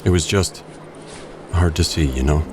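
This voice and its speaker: A man playing a brooding, protective, reserved wealthy man in an audio drama, speaking in a measured, understated way. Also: a bass voice, noisy background. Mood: shaken, gentle.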